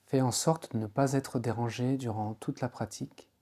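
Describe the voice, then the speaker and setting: Very mellow man guiding deep relaxation. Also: steady tone. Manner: slow, soothing, unhurried instruction with pauses. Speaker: yoga nidra guide. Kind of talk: guided relaxation meditation.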